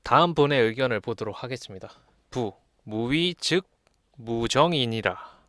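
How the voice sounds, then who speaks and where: A man lectures in a voice of average pitch. He reads passages aloud and then explains them in a measured, teacherly manner. The sound is dry, with very little room in it. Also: lively intonation, fairly bright tone, no echo. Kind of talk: explanatory lesson, reading classical texts aloud and commenting.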